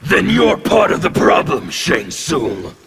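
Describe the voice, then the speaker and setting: An actor playing a menacing male anarchist warrior in a video game, with scornful, threatening delivery and a gravelly voice. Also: on the high side, wildly swooping pitch, slow, heavy background noise, exaggerated voice. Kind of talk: video game intro dialogue.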